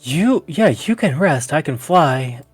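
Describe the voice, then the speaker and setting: A man's voice: a little high, expressive, slow, breathy edge, dry recording, soft background music. Man chatting in a dry-sounding space. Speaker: tabletop game player. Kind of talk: casual game chat.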